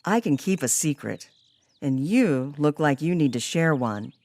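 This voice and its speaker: A woman acts out a gentle, sincere young woman in an audiobook drama. Her voice sits a little low, her delivery is tender and earnest, and quiet music runs beneath it. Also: lively intonation, unhurried. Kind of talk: audiobook character dialogue. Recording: music under the voice.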